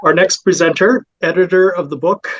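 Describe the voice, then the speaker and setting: A man's voice: sits high, steady tone, normal speed, a bit bright. A man hosting an event and introducing the next presenter, heard in dull, muffled sound.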